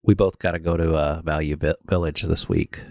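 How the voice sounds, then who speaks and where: A man's voice: extremely low pitch, steady tone, a little fast, gravelly, a little mellow, dry recording, studio quality. A man co-hosting a podcast in casual, slangy banter. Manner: informal, slangy. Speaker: podcast co-host.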